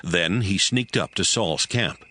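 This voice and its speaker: A man narrating a scripted audio Bible as a story, in a measured storytelling manner. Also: low voice, pushed and bright.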